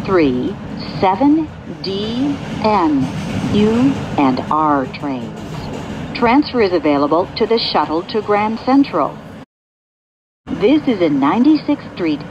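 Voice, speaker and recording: A woman giving measured, formulaic recorded announcements as a subway train announcer. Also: on the low side. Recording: dull recording with clear train noise. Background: subway vehicle noise.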